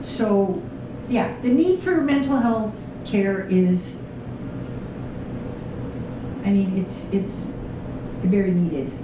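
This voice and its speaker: Slow-talking woman in a very echoey room. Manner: halting, thoughtful, many fillers. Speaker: podcast host, clinician. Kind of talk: interview conversation. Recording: very echoey room, distant mic, rumble.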